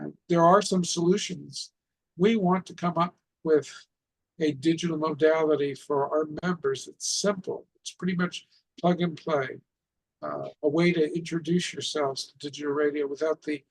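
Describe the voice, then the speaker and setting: A middle-aged man presents informally in a fairly high-pitched voice with a lot of gravelly creak. He explains things step by step and often puts questions to his listeners. The tone is husky and rough-edged. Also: poor audio. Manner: poses rhetorical questions. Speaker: presenter of an amateur radio club session.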